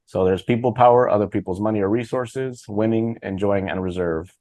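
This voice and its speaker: A man's voice: lowish voice, brisk, a little mellow, no echo, studio quality. A man hosting a podcast interview, casual and informal, encouraging his guest. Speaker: podcast host, author.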